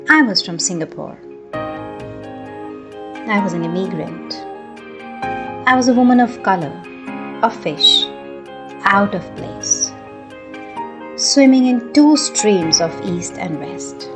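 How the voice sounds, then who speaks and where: Slow, measured female storyteller over music. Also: medium pitch, lively intonation. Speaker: story narrator. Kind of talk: scripted narration of a personal story.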